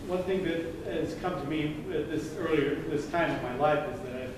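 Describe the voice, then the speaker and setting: An older man in the audience, speaking earnestly and haltingly with many restarts and repeated words. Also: on the high side. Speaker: audience member. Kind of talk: audience question, rambling. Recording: distant, echoey room with noise.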